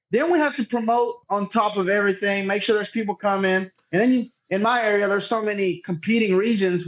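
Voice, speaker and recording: A man from chats casually as an interview guest, telling anecdotes in an informal, self-deprecating way. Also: sits high. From Northeast Texas, United States. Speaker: podcast guest, tournament director. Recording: dull, lo-fi remote audio.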